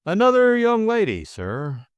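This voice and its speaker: A man voicing a stiff, deferential valet in an audiobook, speaking in a measured, unflappable, scrupulously polite way. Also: high voice, wildly swooping pitch, clear, resonant tone, dry recording, muffled recording, pitched above the performer's own voice, full character voice. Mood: dutiful, composed. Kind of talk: audiobook character acting.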